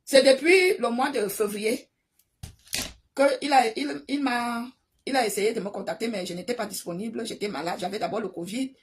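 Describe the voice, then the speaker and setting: A woman's voice: fairly high pitch, moderate pace, a little hiss or hum. A woman from Cameroon, giving an impassioned spoken monologue full of stammering restarts. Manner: animated, repetitive, stammering restarts. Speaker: online commentator.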